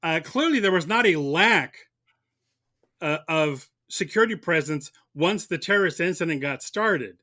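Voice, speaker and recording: Man, higher-pitched, very bright forceful voice, halting delivery, repeated words, mock prosecutor in a debate. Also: animated. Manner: halting, repeats words while arguing his case. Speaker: guest debater playing prosecutor. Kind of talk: mock courtroom film debate.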